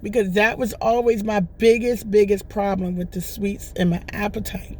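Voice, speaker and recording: Hoarse lady rambling on her vlog, chatty, keeps going "right?". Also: mid-range voice, little pitch movement, moderate pace, a little hiss or hum. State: hoarse, recovering from lost voice. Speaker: vlogger. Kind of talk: casual testimonial chat.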